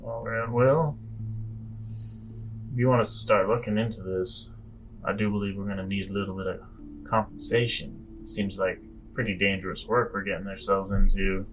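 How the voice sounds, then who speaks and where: A man's voice: on the low side, steady tone, normal speed, slight background noise, close to an everyday voice, poor audio. A man playing a spacer mercenary in a tabletop game, haggling politely and a little tentatively. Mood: cautious, bargaining. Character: bargaining male spacer mercenary named Clive.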